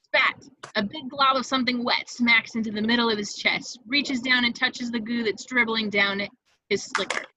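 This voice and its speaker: Very bright-voiced woman narrating a script. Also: fairly high pitch, steady tone, normal speed, dry recording, poor audio. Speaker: stage directions narrator. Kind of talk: scripted narration at a table read.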